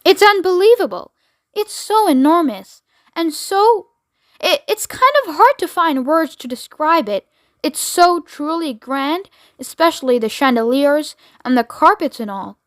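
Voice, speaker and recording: A woman's voice: high-pitched, expressive, normal speed, few pauses, dry recording, studio quality. A woman voicing a folksy, eager male astronaut, talking in an earnest, gushing drawl. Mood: awed.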